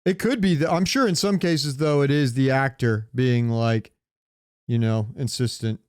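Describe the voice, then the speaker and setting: A man with a smooth, clean voice and a mid-range pitch, recorded close and dry. He talks as a podcast co-host in loose, sweary, casual banter. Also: normal speed, dry recording. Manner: informal, sweary. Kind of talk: casual banter about films and pop culture.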